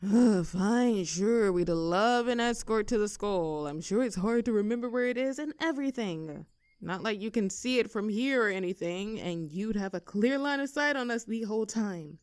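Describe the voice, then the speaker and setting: A woman's voice: mid-range voice, lively intonation, normal speed, darkish tone, clear, resonant tone, no echo, studio quality, lightly acted. A young woman playing a rebellious teenage girl bully in an audio roleplay, with a sarcastic, teasing, brash manner. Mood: sarcastic defiance. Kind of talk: scripted roleplay audio drama.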